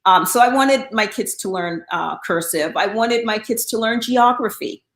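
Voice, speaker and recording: A woman's voice: mid-range voice, moderate pace, echoey room. A middle-aged woman from Guyana, talking casually as an interview guest, with frequent fillers and restarts. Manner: chatty, with many um fillers and restarts.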